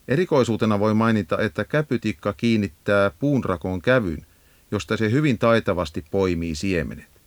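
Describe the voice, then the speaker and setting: A man with a fairly low voice narrates an educational nature guide from a script. His delivery is measured and instructive, explaining things step by step. The sound is close and dry, with hardly any room in it. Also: no room sound. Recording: 1980s audio cassette narration.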